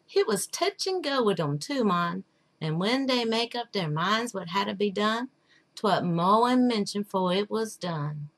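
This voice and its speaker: A woman's voice: mid-range voice, lively intonation, unhurried. A woman voicing a patient, wry old man telling a folktale in drawling, folksy dialect. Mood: folksy, explaining.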